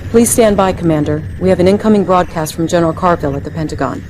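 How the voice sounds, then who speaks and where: An actress playing a young American military intelligence lieutenant, giving formal, measured briefings in a crisp manner for a video game. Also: female voice, fairly low pitch, background noise, natural voice. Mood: urgent, formal.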